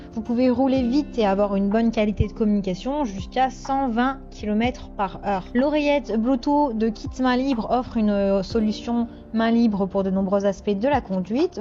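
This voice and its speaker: A woman's voice: on the high side, dark tone. A woman presenting a scripted product review as a voice-over, reading with occasional hesitations. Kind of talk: scripted top-list product review narration. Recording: dull lo-fi voice-over with music. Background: music.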